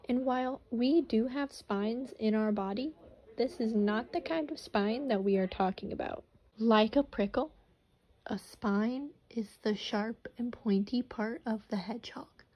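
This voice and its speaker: A woman's voice: a little high, highs cut off. A young woman reading a children's picture book aloud and explaining vocabulary words. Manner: slow and explanatory. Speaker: student teacher.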